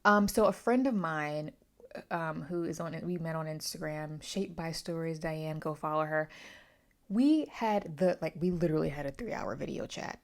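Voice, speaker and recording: A woman's voice: sits low, moderate pace. A woman talking casually through a book review, opinionated and emphatic, often wandering into asides.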